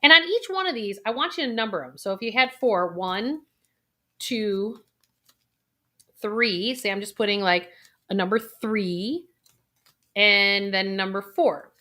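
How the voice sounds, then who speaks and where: A middle-aged woman coaching the listener through a guided exercise, talking them through deep breaths and feelings. Her voice is bright and forward, with pitch that swings widely as she talks. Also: measured pace. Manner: expressive, coaching, walks viewer through breathing. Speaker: financial planner and coach. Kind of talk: guided intuition exercise talk to camera.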